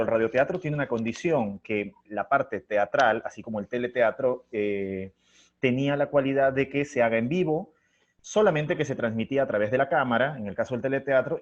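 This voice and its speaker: A man's voice: mid-range voice, animated, brisk. A middle-aged man with a Peruvian Spanish accent, answering conversationally as an interview guest in a reflective, anecdotal way. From Chiclayo, Peru. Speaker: theatre artist and interview guest. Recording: dull, lo-fi audio.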